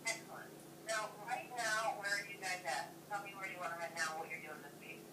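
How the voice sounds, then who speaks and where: A woman directing an interview, giving friendly instructions with halting restarts. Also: mid-range voice, pushed and bright, reverberant, recorded from a distance.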